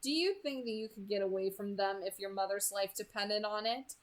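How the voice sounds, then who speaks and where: A woman voicing a pleasant, coolly amused male kidnapper in an audiobook, polite and controlling, with a light, smooth delivery. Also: medium pitch, moderate pace, natural voice. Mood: politely menacing. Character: pleasant, softly menacing man, coolly amused kidnapper on phone.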